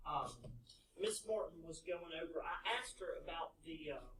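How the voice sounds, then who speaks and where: A man's voice: fairly high pitch, animated, moderate pace, a bit bright, slight background noise. A man on a math team explaining a problem to his coach, hesitant with lots of fillers. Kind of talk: casual explanation. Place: classroom. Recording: echoey classroom from far off.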